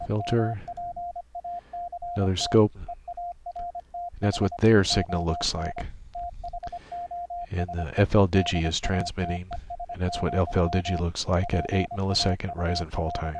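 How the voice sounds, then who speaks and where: A man giving an informal, matter-of-fact technical software walkthrough as he demonstrates a setup. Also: sits low, steady tone, measured pace, long pauses. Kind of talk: informal screen-share tutorial narration. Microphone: desktop microphone monitored through computer audio. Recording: clearly noisy home microphone recording.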